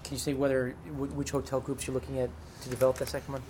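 A man's voice: medium pitch, completely flat delivery, rapid-fire, a little hiss or hum. A man asking questions as a reporter at a press conference. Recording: location recording with crowd murmur.

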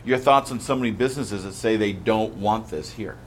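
A male radio reporter puts a pointed question to a speaker at a press conference. His voice is low. Faint background noise sits under the recording. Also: brisk.

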